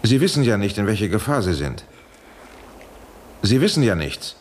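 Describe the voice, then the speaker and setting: An actor playing an anxious, authoritative professor in a 1970s German radio drama, urging and imploring in formal speech. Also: male voice, lowish voice, big pitch swings, normal speed, slight background noise. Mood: urgent pleading. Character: anxious authoritative male professor pleading urgently by radio link.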